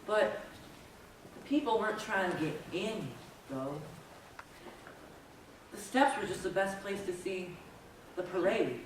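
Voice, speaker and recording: A woman's voice: medium pitch, takes it slowly, pauses often, lightly acted. A woman playing a wide-eyed, churchgoing townsperson telling a story on stage, recorded from far off in an echoey space. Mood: eager, wondering. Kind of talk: theatrical storytelling monologue, readers theater. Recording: echoey stage, distant, faint noise.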